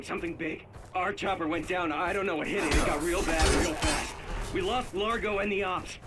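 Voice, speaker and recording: A frightened security officer played by a male actor gives a shaken, urgent report in a high voice. The delivery is halting and dramatic, as in a video game, with loud music behind him. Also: natural voice.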